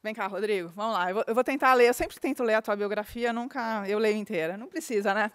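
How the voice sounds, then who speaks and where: A woman's voice: medium pitch, clear, resonant tone, no echo, studio quality. A woman hosting a conference, welcoming guests in two languages and introducing the speaker with lavish praise. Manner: warm, effusive, quick praise of the guest. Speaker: event host introducing speakers. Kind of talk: stage introduction. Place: conference hall.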